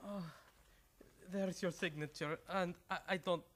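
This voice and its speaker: An actor in an old-time radio comedy playing a nervous, evasive doctor with a pleading, hesitant manner. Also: male voice, high-pitched, fairly even intonation, unhurried, a bit bright. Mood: anxious.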